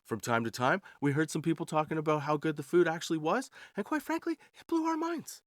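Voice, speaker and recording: A man's voice: on the high side, expressive, quick pace, dry recording, clean studio sound. A man in his forties telling stories in a casual, slangy, comedic way, as a podcast host amused by his own jokes. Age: 40s. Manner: slangy, ends remarks with "dude" and "right". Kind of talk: casual comedic storytelling monologue.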